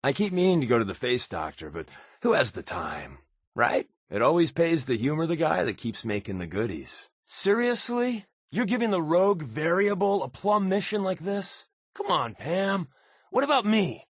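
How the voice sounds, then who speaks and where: A man's voice: a little high, very animated, normal speed, husky, no echo, studio quality, exaggerated voice. A man playing a wry, wisecracking undercover agent in a video game, with a casual, sardonic delivery. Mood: teasing, then wry, playful. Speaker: voice actor playing a game companion. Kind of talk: video game character dialogue.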